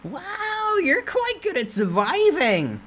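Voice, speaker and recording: Squeaky, taunting little goblin spirit. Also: male voice, huge pitch swings, slow pace, sharp tone, exaggerated voice. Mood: mocking glee. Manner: sing-song, taunting. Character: small mocking merchant-like goblin spirit, gleeful and taunting.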